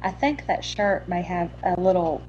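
A woman's voice: on the low side, expressive, normal speed, dull audio, background noise. A young woman with a Texas accent, co-hosting a podcast in casual chat, chatty and often murmuring agreement. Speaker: podcast co-host.

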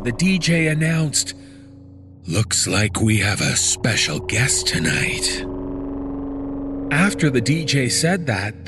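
A man reading a scripted first-person horror story in a measured, deliberate storytelling manner. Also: on the high side, noisy background. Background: background music.

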